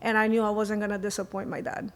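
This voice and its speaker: A middle-aged woman from Venezuela, telling her story in a casual, animated and emphatic way as a podcast guest. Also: mid-range voice, quick pace. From Venezuela, moved to the US at age eight. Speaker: podcast guest, lash artist and educator. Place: guest in the host's home.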